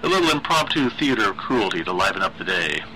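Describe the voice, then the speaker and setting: A man's voice: fairly low pitch, very animated, quick pace, sharp tone, slight background noise, rough recording. A man reading a horror story aloud as a dramatic narrator.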